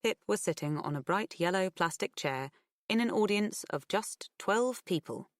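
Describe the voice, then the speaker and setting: A woman's voice: medium pitch, expressive, normal speed, dry recording, clean studio sound. A woman reading scripted fiction as an audiobook narrator.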